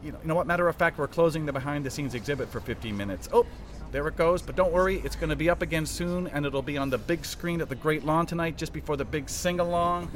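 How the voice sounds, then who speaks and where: An actor in a satirical audio drama playing a fast-talking, evasive middle-aged TV writer who stammers and repeats himself as he cajoles. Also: fairly high pitch, a little hiss or hum, close to an everyday voice. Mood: hurried, glad-handing.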